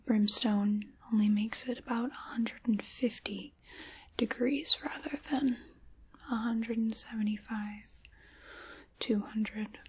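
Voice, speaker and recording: A woman playing a sinister, chaos-loving dark witch in a whispered, theatrical ASMR roleplay, soft-spoken and close to the microphone. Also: fairly high pitch, steady tone, takes it slowly, slightly rough. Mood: wry, musing.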